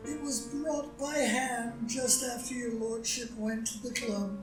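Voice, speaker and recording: Woman playing an impassive, high-voiced butler. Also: natural voice. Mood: impassive, formal. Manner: deadpan, measured, formal. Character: impassive, deferential ideal English butler. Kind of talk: stage comedy group play reading. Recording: roomy, distant mic, music.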